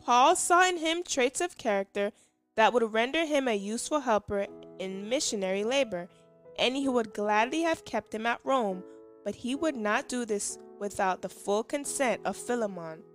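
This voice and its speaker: A woman's voice: on the high side, animated, moderate pace, soft background music. A woman reading scripture and a scripted religious devotional aloud. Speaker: devotional reader.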